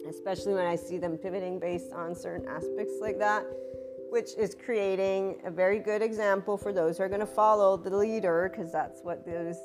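A woman hosting a spiritual podcast, talking in a rambling, chatty way with asides; her voice is a little rough and deeper than usual today. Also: fairly even intonation, moderate pace, over loud music. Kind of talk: casual monologue.

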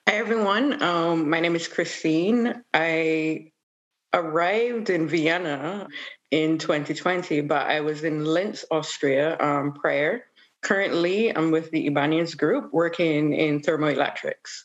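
A young woman researcher introducing herself haltingly with fillers. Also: low voice. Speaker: PhD student guest. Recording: dull lo-fi audio.

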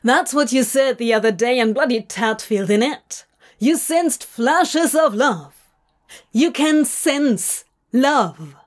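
A volatile male demon from a storybook , as a woman reads him, shouting and snarling. His lines come out loud and raw, bitter and pleading at once. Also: on the high side, lively intonation, takes it slowly. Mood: furious. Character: volatile anguished male demon, sharp-tongued.

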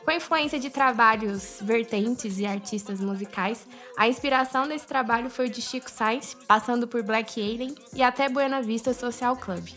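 Woman, Goiás accent, higher-pitched, podcast host, upbeat, music bed faint behind. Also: normal speed, hardly any pauses, a bit bright. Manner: upbeat, laughs often, chimes in. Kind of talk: casual interview chat.

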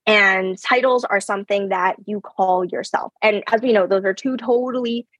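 A woman's voice: mid-range voice, moderate pace, a bit bright, no room sound, dull audio. A young woman, a podcast guest giving conversational answers, articulate with small restarts and light laughs. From Canada. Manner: articulate, repeats words at starts, laughs lightly. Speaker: podcast guest, brand strategist.

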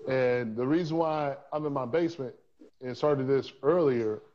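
A man's voice: mid-range voice, moderate pace, a little mellow, dull audio. A man hosting a casual podcast from his home basement, talking loosely and swearing as he rambles. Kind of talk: casual chat.

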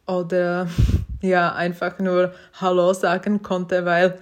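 Podcast host, young woman speaking a second language, hesitant and encouraging, slow with flat intonation. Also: on the low side. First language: not German. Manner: hesitant, many fillers and restarts; self-reflective, encouraging. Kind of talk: casual personal monologue.

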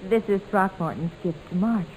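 An actress playing a gracious, gently chiding refined lady in a 1940s radio comedy, polite and theatrical. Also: medium pitch, quick pace, close to an everyday voice. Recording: noisy vintage radio broadcast recording.

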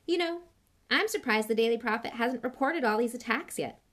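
A woman's voice: on the high side, expressive, brisk, a bit bright, voice pushed up. A woman voicing a spoiled, arrogant schoolboy bully in an audiobook, scornful, impatient and boastful. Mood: thoughtful, disdainful. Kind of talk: audiobook character voice.

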